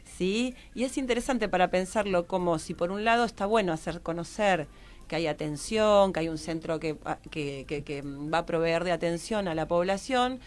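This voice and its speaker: A woman's voice: on the low side, normal speed. A woman, explaining informally as an interview guest and tentatively rephrasing as she goes. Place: radio studio.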